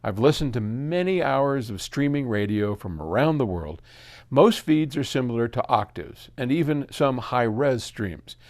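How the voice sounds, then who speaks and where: A man with a mid-pitched voice speaks as a host in a casual, explanatory way. He thinks aloud as he goes and leans on key words for emphasis, keeping the delivery conversational. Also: expressive, a little slow, a little mellow. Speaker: record label host answering listener questions. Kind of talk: casual explanatory talk to camera.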